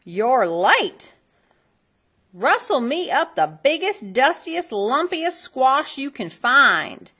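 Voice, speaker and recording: Woman acting old western fairy godmother, storybook, high, commanding, folksy, spirited. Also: very animated, slow, bright, forceful tone, breathy edge, heavily acted.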